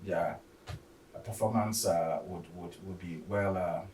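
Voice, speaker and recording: A man with a fairly deep voice talks sport without a script. He stammers and doubles back on words, hesitating often. The sound is roomy and slightly distant, with faint noise. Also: fairly even intonation, darkish tone. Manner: halting, stammering, repeating words. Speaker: football discussion panellist. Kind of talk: unscripted sports discussion.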